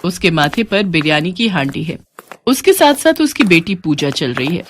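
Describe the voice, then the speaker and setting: A woman's voice: fairly low pitch, sing-song, normal speed, a little hiss or hum. A woman reading a scripted moral story as its narrator.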